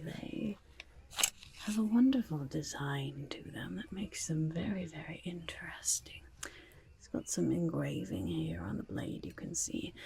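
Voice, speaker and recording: A woman's voice: on the low side, a little mellow, exaggerated voice. A woman voicing a sly, chatty goblin market peddler in a whispered ASMR roleplay, with wheedling salesmanship. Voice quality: breathy, husky whisper with creaky edges. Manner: wheedling salesmanship, speaks of self in third person.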